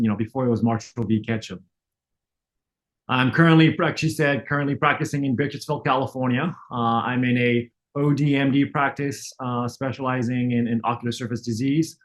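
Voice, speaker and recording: A middle-aged man from France, with no trace of a French accent, giving a relaxed online lecture, full of fillers and restarts. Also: medium pitch, brisk. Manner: frequent fillers and self-restarts, conversational. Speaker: optometrist lecturer. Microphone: online webinar.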